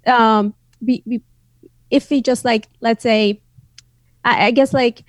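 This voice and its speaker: Iranian-accented woman, bright and dry. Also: on the high side, fairly even intonation, slow pace, no room sound. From northwest Iran. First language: Azeri. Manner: hesitant, many fillers and restarts, laughs often. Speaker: podcast guest. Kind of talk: casual interview chat.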